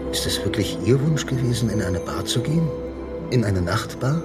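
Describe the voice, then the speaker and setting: A man's voice: medium pitch, animated, normal speed, close to an everyday voice. An actor playing a wry, laconic, lonely blind man who narrates and talks in a noir radio drama. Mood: guarded, dry. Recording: music behind the voice. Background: music.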